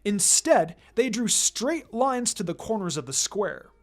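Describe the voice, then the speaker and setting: A narrator reading a fan fiction story aloud in an expressive, scripted storytelling style. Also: mid-range voice, measured pace. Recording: music behind the voice. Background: background music.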